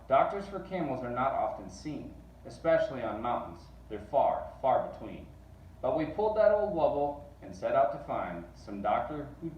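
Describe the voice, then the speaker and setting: A man reads a rhyming storybook aloud with animated, rhythmic delivery. His medium-pitched voice sits back from the mic in an echoey room with faint noise. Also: highs cut off. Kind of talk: expressive storybook read-aloud. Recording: distant mic, roomy echo, faint noise.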